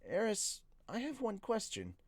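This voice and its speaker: Man acting an animated, anxious young mage. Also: high voice, measured pace, no echo, pitched above the performer's own voice, heavily acted. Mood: hesitant questioning. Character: young male mage narrator-hero, anxious, self-doubting. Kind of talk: first-person novel reading.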